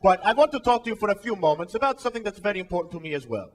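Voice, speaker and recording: A man's voice: high voice, very animated, rapid-fire. A man playing a vain, boastful Italian cooking show host, with an Italian accent and a pompous, theatrical delivery in a comic sketch. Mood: brisk, showy.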